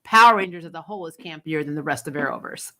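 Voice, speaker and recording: A woman's voice: low voice, lively intonation, fast talker, pushed and bright. A woman hosting a recap show, giving casual, opinionated fan commentary in a blunt, conversational way.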